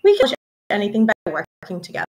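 A young woman reading a children's picture book aloud in an expressive, deliberate teacherly storytelling manner. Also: medium pitch, a little hiss or hum. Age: young adult. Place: small room at home, indoors.

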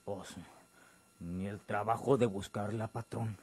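A man's voice: on the low side, fairly even intonation, takes it slowly, a little mellow, husky, no echo. An actor playing an obedient, plain-spoken henchman with a rural Mexican accent in a radio drama.

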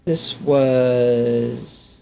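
Slow, creaky man gaming. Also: mid-range voice, little pitch movement, mellow, a little hiss or hum. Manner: offhand, musing aloud to himself. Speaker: gaming let's player. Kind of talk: casual gameplay commentary. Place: indoors at a desk. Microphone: headset.

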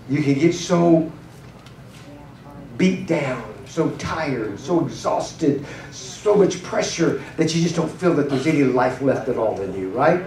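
Animated middle-aged pastor preaching in a roomy hall. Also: male voice, a little high. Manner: animated preaching, gesturing and pacing the stage. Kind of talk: sermon. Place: church hall stage. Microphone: handheld mic. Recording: roomy hall sound from a distant camera.

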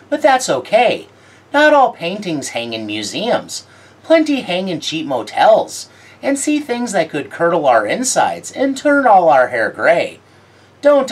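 A low, husky woman's voice swoops widely up and down as she delivers scripted horror film commentary. Her tone is wry and sardonic, with a theatrical lilt on her asides. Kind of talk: scripted video-essay commentary.

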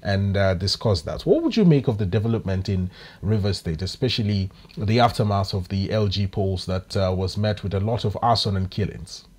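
A man in his thirties with a Nigerian English accent, hosting a studio interview and posing measured questions. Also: on the low side, lively intonation, a little mellow, slightly rough. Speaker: TV host.

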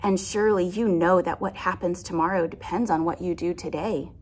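A woman's voice: on the low side, steady tone, fairly quick. Woman as a grave, admonishing anteater. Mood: earnest scolding. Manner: earnest, admonishing. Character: grave, scolding anteater pleading for the forest. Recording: clear background noise.

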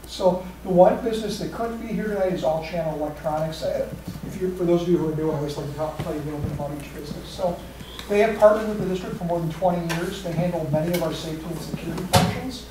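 Male presenter, steady and informative, slightly high voice; distant, roomy recording with hiss. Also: quick pace. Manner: steady, informative, reads out facts and figures. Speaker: district staff presenting awards. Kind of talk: formal presentation at a meeting. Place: large meeting room. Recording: echoey room from far off with hiss.

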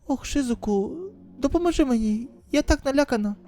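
A woman's voice: sits high, a little fast, warm tone, voice pushed up, lightly acted. A woman voicing an anxious, tender teenage girl in an emotional, pleading manner for a dramatic manga voice-over. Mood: timid.

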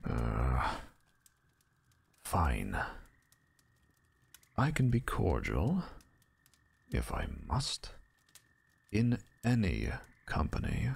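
A man's voice: low voice, gravelly, a little mellow, husky, no room sound, full character voice. A man playing a stern, haughty owl lord and father in an audio drama, speaking in a formal, imperious manner.

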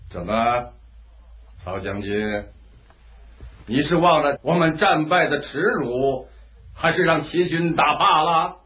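An actor playing a vengeful, imperious feudal lord of ancient China in a commanding, theatrical radio drama style. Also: male voice, fairly high pitch, takes it slowly, pauses often. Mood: scornful, goading. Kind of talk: Chinese historical radio drama acting. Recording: muffled, phone-like lo-fi radio drama audio.

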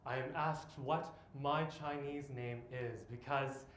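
A man in his twenties performing an autobiographical spoken-word monologue on stage, with dramatic, deliberate phrasing and long pauses. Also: mid-range voice, steady tone, fairly bright tone. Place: live on stage in a theatre. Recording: echoey theatre, live stage recording, background noise.

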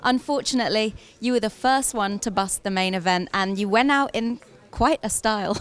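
A woman's voice: mid-range voice, normal speed, clean tone. A woman, around her thirties, interviewing on camera at an event with a handheld microphone. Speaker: interviewer and presenter. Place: busy indoor poker tournament hall.

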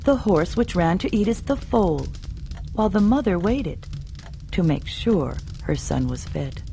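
A woman's voice: lowish voice, very animated, measured pace, creaky, mellow, a little hiss or hum, heavily acted. A woman voicing a devoted young man speaking earnestly and with emphasis.

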